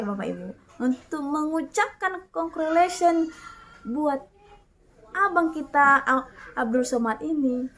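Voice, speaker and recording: Woman, 30s, online preacher, high and animated, faint noise behind. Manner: animated, addresses listeners as "Bapak Ibu", reads Bible verses aloud. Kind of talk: casual sermon-style monologue to viewers.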